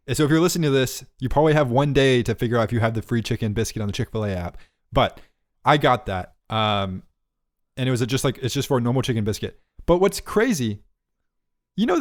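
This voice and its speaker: Co-host, man, mid pitch, teasing and stumbling, dry close mic. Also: expressive, fairly quick, no echo. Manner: teasing, laughs often, trips over words. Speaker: podcast co-host. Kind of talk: casual banter.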